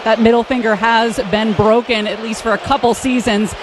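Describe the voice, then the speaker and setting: A woman's voice: a little high, flat delivery, normal speed, background noise. An American woman giving a live sideline report at a football game, speaking from the stadium field.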